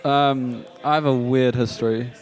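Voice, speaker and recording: A man's voice: medium pitch, steady tone, measured pace, faint music behind, poor audio. A man who grew up in New Zealand, a comedian guest talking in an easygoing, hesitant way, with plenty of fillers. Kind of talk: casual interview chat. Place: couch at a comedy club.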